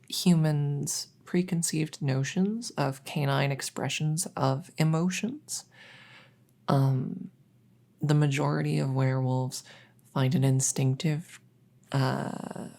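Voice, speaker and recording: Low, creaky, unhurried woman playing a reassuring vet. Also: warm tone, full character voice. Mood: amused, informative. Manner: hesitant, explanatory, reassuring. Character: kindly, rambling female vet for supernatural patients. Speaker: voice roleplay performer. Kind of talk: ASMR audio roleplay.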